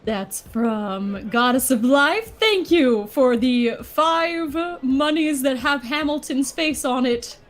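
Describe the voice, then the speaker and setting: A woman's voice: high voice, animated, slow, few pauses. A woman voicing a playful female bat from a video game, chatting casually as a livestream co-host. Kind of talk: in-character improvised livestream banter. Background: background music.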